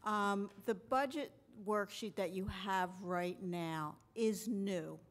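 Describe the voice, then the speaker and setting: A woman's voice: medium pitch, slow pace. Female municipal finance officer, middle-range voice, patient explanatory meeting delivery. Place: council chamber.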